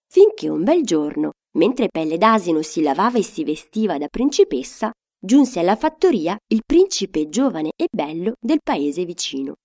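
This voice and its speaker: A woman's voice: medium pitch, a little mellow. A woman telling a fairy tale to children, reading a scripted story expressively as narrator.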